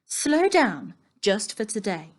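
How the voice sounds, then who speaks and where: A woman's voice: medium pitch, sing-song, takes it slowly. A woman reads as a caring aunt in a children's storybook. She stands up to her husband, imploring him with firm, insistent words. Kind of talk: storybook character reading.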